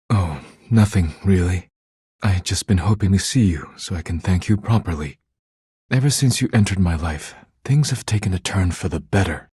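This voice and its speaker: A man playing a formal, earnest, reserved video game character with measured diction and a husky voice. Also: sits low, warm tone, no room sound, clean studio sound, heavily acted. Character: formal, earnest, reserved man, once workaholic, now tender. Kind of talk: scripted game dialogue, formal romance scenes.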